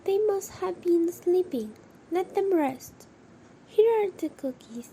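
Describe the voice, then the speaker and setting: A very high-pitched, slow-talking old farm grandmother, acted by a woman in a radio play. She is protective and anxious, fussing over family, on a slightly noisy recording. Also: animated, mellow, exaggerated voice. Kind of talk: amateur radio drama acting.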